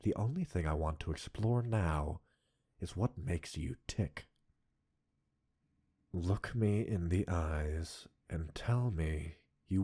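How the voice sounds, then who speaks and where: A man playing an obsessive, coolly possessive mad-scientist captor in an intimate roleplay audio, speaking with deliberate, teasing dominance and a breathy huskiness. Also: low-pitched, mellow, exaggerated voice. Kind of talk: intimate scripted roleplay addressed to listener.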